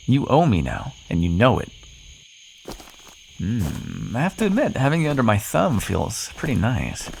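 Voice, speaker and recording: A man's voice: fairly low pitch, wildly swooping pitch, unhurried, creaky, darkish tone, a little hiss or hum, exaggerated voice, poor audio. A man playing a cocky, flirtatious, sardonic vampire in an ASMR roleplay, teasing the listener with pet names, sarcasm and swearing. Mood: smug, teasing.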